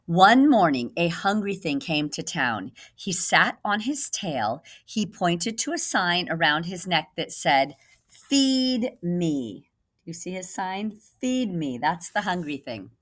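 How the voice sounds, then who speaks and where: A woman with a slightly low voice reads a storybook as a teacher would. Her intonation is very animated, and she pauses to ask the listener questions. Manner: expressive, pausing to question the listener. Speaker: reading teacher. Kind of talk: children's storybook read-aloud.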